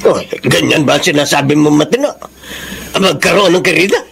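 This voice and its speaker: An actor playing a stern older father, gravelly and bluntly scolding, in a Tagalog radio drama. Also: fairly high pitch, brisk, a bit bright, a little hiss or hum. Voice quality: gravelly, creaky.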